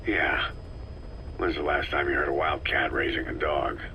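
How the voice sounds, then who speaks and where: An actor playing a terse, guarded, battle-worn soldier boss in a video game, laconic and deadpan. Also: male voice, extremely low pitch, moderate pace, highs cut off, a little hiss or hum. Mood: guarded, dry. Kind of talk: video game voice acting, scripted dialogue.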